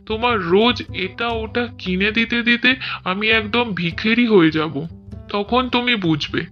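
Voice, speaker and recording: A man's voice: sits high, a little slow, lo-fi sound, faint music behind. A man voicing a harried cartoon husband who complains and lectures his wife about her spending. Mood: exasperated. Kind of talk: cartoon voice acting.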